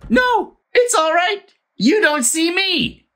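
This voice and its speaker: A woman's voice: very high voice, sing-song, slow pace, fairly bright tone, exaggerated voice. A woman playing an irate, blustering male landowner in an audio play, ranting with theatrical exasperation. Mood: defiant, angry.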